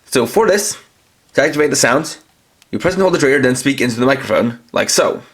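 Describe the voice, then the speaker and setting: A man's voice: medium pitch, lively intonation, brisk, a bit bright, breathy edge. A man reviewing toys, walking through each feature in a methodical, step-by-step way. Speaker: toy reviewer. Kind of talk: toy review demonstration.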